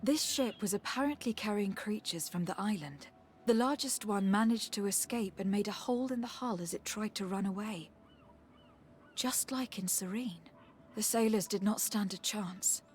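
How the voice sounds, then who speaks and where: A woman's voice: medium pitch, normal speed, a little hiss or hum, lightly acted. An actress playing a courteous, caring noblewoman emissary in a video game, polite and composed in formal phrasing. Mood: grave. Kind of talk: video game dialogue acting.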